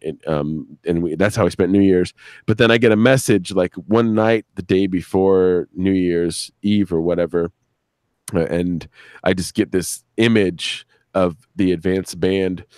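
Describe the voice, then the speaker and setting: A man's voice: deep voice, steady tone, moderate pace, a little mellow, no room sound, clean studio sound. A man, a show creator and guest, chatting casually on a live stream, telling rambling, profane anecdotes with quoted dialogue.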